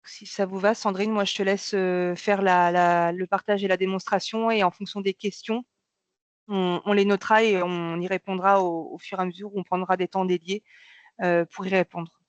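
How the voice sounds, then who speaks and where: A woman's voice: medium pitch, flat delivery, normal speed, no room sound. A woman opening a training webinar as a client relations manager, speaking hesitantly with many fillers over a video call. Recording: dull lo-fi video call audio.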